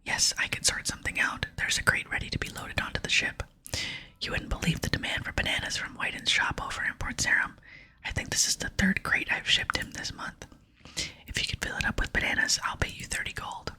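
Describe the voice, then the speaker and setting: A woman's voice: fairly quick, sharp tone. A woman voicing an obliging male plantation owner from a video game, reading politely in an ASMR whisper. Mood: businesslike.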